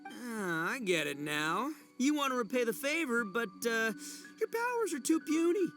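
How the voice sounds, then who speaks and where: A man's voice: very high voice, big pitch swings, normal speed, a bit bright, soft background music, full character voice. A man voicing a brash, boastful but good-hearted young oni in a video game, goofy and slangy. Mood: friendly, brash.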